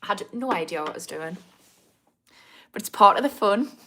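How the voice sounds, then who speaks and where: A woman's voice: mid-range voice, animated, normal speed, bright, forceful tone. A woman in her thirties with a Geordie accent, chatting casually on a livestream, answering viewer comments with self-mocking humour and frequent laughter. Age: 30s. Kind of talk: casual live chat.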